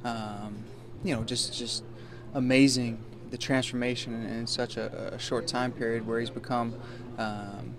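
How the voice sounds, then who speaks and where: Male player, locker room, monotone delivery, noisy background. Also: mid-range voice, a little slow. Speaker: baseball player.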